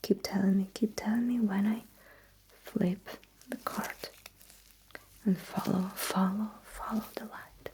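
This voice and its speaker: A woman whispering in an ASMR roleplay as a technician, giving gentle, slow instructions in short phrases with a breathy, mostly unvoiced voice. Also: medium pitch, fairly even intonation, a little hiss or hum. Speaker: ASMR performer role-playing a sci-fi technician running calibration tests.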